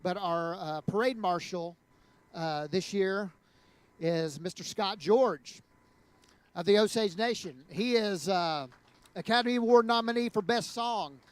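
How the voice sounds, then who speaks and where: A man's voice: sits high, unhurried, clear, resonant tone. A man hosting an event, introducing a guest in a conversational way with frequent fillers. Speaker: event host.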